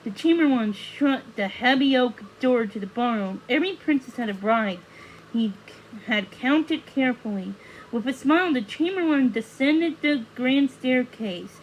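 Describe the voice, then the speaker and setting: A woman's voice: high voice, animated, normal speed, slight background noise, rough recording. A woman reading a children's storybook aloud as narration. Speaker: story reader.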